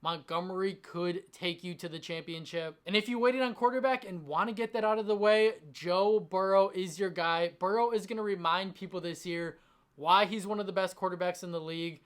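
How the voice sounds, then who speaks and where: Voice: mid-range voice, moderate pace, clean tone. A sports content host speaks straight to the audience in an emphatic, enthusiastic way. The voice is slightly strained from a long stretch of talking. The delivery is that of someone laying out fantasy sports advice with conviction. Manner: emphatic, enthusiastic about the picks. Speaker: fantasy football channel host.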